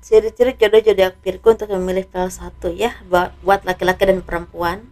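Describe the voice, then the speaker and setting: A slightly hoarse woman doing a casual tarot reading, speaking softly and letting her phrases trail off. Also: medium pitch, level tone, a little fast. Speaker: tarot reader.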